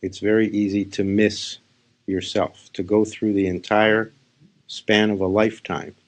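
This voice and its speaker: A man speaking as a spiritual teacher, leading a guided meditation in short, unhurried phrases with pauses. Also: fairly low pitch, flat delivery. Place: live session.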